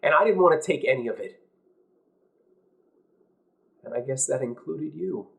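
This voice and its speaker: A man playing an anguished, idealistic young American war veteran, guilt-ridden and outraged, in an intense, halting dramatic stage monologue. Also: medium pitch, expressive, vocal fry, a bit bright. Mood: guilty, earnest. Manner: intense, halting, emphatic, emotional.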